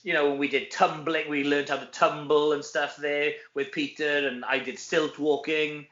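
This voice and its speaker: A man's voice: a little high, flat delivery, normal speed, a bit bright. A Welsh man chatting casually as an interview guest, self-deprecating and anecdotal, peppering his talk with conversational tags. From Wales. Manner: chatty, self-deprecating, often tags sentences with "you know". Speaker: actor and comedy performer, interview guest. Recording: roomy echo, dull lo-fi sound.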